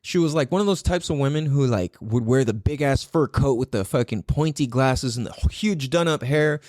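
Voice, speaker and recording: A man's voice: medium pitch, moderate pace, a little mellow, no room sound, studio quality. A man hosting his own podcast in a casual solo talk, swearing freely, laughing often and repeating words for emphasis. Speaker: podcast host.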